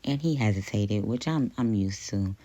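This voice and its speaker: A woman with a deep, raspy voice chatting to her viewers and telling a story in a casual, rambling way, with many ums and some half-whispered asides. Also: animated, normal speed, mellow, dry recording. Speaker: channel host.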